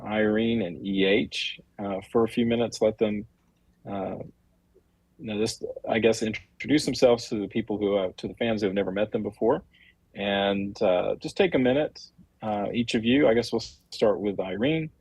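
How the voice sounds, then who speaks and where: A man hosting a livestream, talking off the cuff and reading out viewer comments in a halting, apologetic way. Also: on the low side, a little mellow, breathy edge, dry recording, rough recording. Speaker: livestream host, comic creator.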